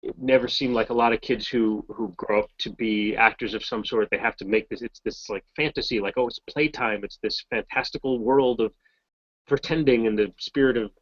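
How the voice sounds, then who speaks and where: A voice actor from Massachusetts answering fan questions in a casual, rambling, self-deprecating way over a video call. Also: male voice, medium pitch, fairly even intonation, a little fast, no echo, lo-fi sound. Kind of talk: casual Q&A chat.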